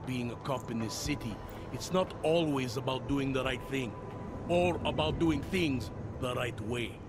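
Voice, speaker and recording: Man voicing a jaded game cop, wry, mid pitch, music behind. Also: unhurried, natural voice. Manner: wry, world-weary, half-joking. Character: weary veteran male city police detective. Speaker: game character. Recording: game cutscene with loud music.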